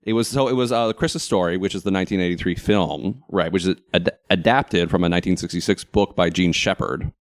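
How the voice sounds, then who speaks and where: A man co-hosting a casual, humorous chat, telling stories in a wry, animated and opinionated way. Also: low-pitched, fast talker, hardly any pauses, no echo, clean studio sound. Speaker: talk show co-host.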